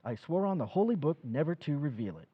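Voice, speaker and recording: An actor playing a flustered elderly small-town husband who hesitates and evades in an old-time radio drama. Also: male voice, on the high side, very animated, fast talker, slightly rough, muffled recording. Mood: evasive. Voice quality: hesitant, stammering.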